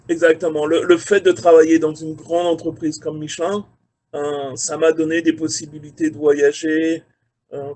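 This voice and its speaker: Hesitant Frenchman on a video call. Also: a little high. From France. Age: 40s. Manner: relaxed, hesitant with many fillers. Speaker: career mentor, quality manager. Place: his office at a factory.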